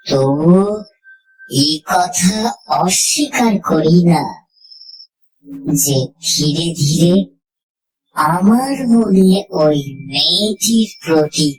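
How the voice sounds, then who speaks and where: A woman narrating a scripted horror story in the first person, reading dramatically and deliberately with suspenseful pauses. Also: fairly low pitch, lively intonation, slight background noise, low-quality recording.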